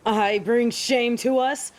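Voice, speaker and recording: A woman's voice: fairly high pitch, fairly even intonation, takes it slowly. A woman playing a proud fallen angel in an audio drama, with haughty, theatrical and formal delivery. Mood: defiant, indignant. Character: proud, defiant fallen female angel of judgement. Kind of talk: scripted audio drama acting.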